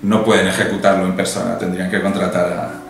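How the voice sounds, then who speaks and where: A man's voice: low-pitched, level tone, a little fast, gravelly. A man the in his fifties, speaking thoughtfully as an interview guest, with frequent restarts and tag questions. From Basque Country, Spain. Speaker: novelist and journalist, interview guest. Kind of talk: interview answers about his novel. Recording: very echoey room, voice from a distance, music behind.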